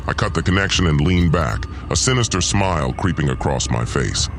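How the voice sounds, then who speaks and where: A man's voice: a bass voice, lively intonation, a little fast, faint music behind. A man playing a cold, vengeful usurper lord in a sci-fi audio drama, pompous and menacing, narrating his own schemes theatrically. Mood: cold, gloating. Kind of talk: first-person audio drama narration and dialogue.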